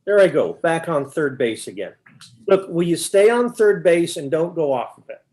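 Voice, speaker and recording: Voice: medium pitch, big pitch swings, moderate pace, a little mellow, natural voice. A performer playing an exasperated, confused questioner in a staged comic baseball dialogue, pressing insistently for answers. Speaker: amateur readers theater performer.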